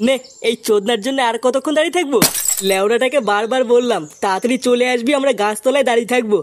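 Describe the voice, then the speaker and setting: A man's voice: very high voice, racing, a little hiss or hum. A man voicing an impatient, bossy, foul-mouthed village pal in an amateur comedy cartoon.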